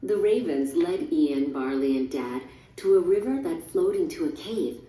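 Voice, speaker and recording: Mellow woman narrating a storybook, roomy sound. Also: deep voice, expressive, moderate pace, slight background noise. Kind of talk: scripted read-along storybook narration. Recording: roomy, distant, music underneath.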